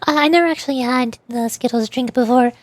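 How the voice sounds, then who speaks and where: A woman's voice: high-pitched, fairly quick, no echo. A woman livestreamer chatting casually with viewers while gaming, in a playful, rambling way. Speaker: livestreamer (VTuber) playing and voicing a game.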